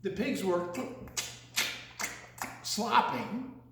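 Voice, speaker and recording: In a strongly reverberant room, an older man tells a children's story at an unhurried pace. His voice sits high for a man. He draws words out theatrically in a singsong rhythm and breaks off for playful questions to his listeners. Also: big pitch swings, noisy background. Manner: theatrical, singsong, drawn-out delivery with teasing questions. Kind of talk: read-aloud picture-book story with playful asides to young listeners.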